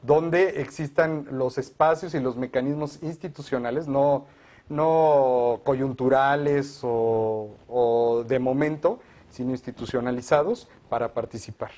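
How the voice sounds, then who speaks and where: A man, a guest advocate for education, explaining proposals in a measured way on a televised discussion. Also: medium pitch, a little mellow.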